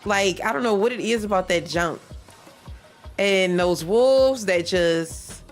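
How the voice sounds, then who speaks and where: A woman with a stuffy nose chatting casually with her viewers while she warms up at a game, rambling and repeating herself. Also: medium pitch, slow pace, faint music behind. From Michigan. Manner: rambling, repeats herself, chats with stream chat. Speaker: livestreamer playing a speedrun practice.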